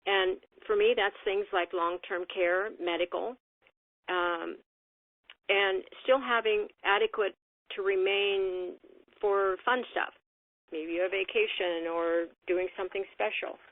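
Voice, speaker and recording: A woman's voice: mid-range voice, fairly even intonation, takes it slowly, no room sound. Woman on a tinny phone line. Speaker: conference call speaker. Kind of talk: reflective motivational talk. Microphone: telephone. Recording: phone-line conference call, narrow band.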